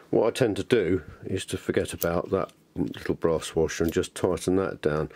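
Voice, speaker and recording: A man with a lowish voice presents a hands-on tutorial. He is chatty and keen, explaining each step informally as though thinking aloud. The delivery is that of an enthusiastic hobby teacher. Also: darkish tone.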